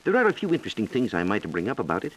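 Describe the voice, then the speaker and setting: An American man explaining science in a measured, lecturing way, as a reporter in a scripted 1940s educational radio dialogue. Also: lowish voice, wildly swooping pitch, a bit bright, poor audio. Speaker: science reporter and guest expert.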